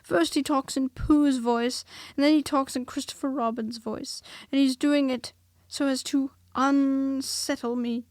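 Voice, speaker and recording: Woman voicing a high, jittery storybook piglet, fast and squeaky, anxious; very dry read. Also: lively intonation, no room sound. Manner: nervous, squeaky and quick. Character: small timid anxious male piglet.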